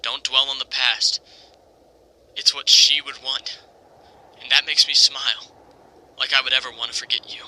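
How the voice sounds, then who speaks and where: An actor playing a tender, grieving young male superhero in a comic dub, speaking in an earnest, halting, reflective way. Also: mid-range voice, flat delivery, pushed and bright, rough recording. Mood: wistful, grieving. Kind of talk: comic dub, dramatic monologue. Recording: music under the voice. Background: music.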